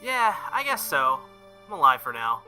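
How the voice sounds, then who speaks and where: A man plays a stubborn, sincere teenage boy in a visual novel, a novice fighter who talks plainly. The voice is bright and a little high, over faint music. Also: sing-song, normal speed, full character voice. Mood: casual, reassuring. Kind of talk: visual novel dialogue read aloud.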